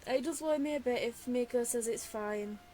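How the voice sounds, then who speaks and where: A woman's voice: on the high side, a little fast, mellow, a little hiss or hum. A woman giving casual gameplay commentary as a streamer, musing aloud as she plays. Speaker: gaming streamer.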